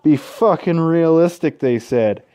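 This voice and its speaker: A man doing gaming commentary, reading a visual novel aloud with casual, sweary asides and an expressive, dramatic delivery. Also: fairly high pitch, measured pace. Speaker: let's play host. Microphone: close gaming microphone.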